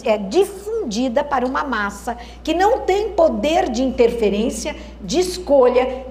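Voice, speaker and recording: A woman's voice: a little high, reverberant, slight background noise. A woman with a Brazilian Portuguese accent giving a university lecture, thoughtful and searching for words, often asking the audience rhetorical questions. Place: lecture hall.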